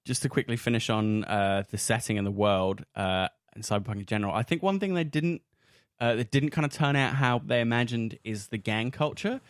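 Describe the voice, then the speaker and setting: A man's voice: mid-range voice, a little fast, no room sound, studio quality. A man chatting casually as a podcast host, telling things in an enthusiastic, descriptive way. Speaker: podcast host and tabletop role-player. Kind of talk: casual discussion among friends.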